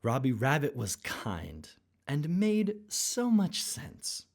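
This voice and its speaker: Very low husky woman storyteller. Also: huge pitch swings, slow, darkish tone. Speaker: storybook narrator. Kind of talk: scripted rhyming children's read-aloud.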